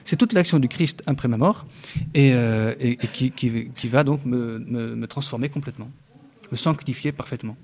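A man in his thirties gives an earnest religious talk to an audience. His voice sits at an ordinary middle pitch for a man, and his loudness rises and falls as he presses his points. He stumbles often on fillers and restarts, with dry touches of humor. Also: normal speed. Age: 30s. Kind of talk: spoken religious teaching to an audience.

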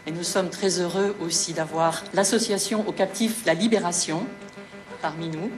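A woman, director of a welcome centre, giving a measured, formal welcome speech with frequent hesitations. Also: on the low side, fairly even intonation, over music.

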